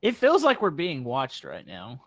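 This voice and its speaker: A man's voice: medium pitch, huge pitch swings, measured pace, no room sound. A young man chatting casually as a co-player in a gameplay video.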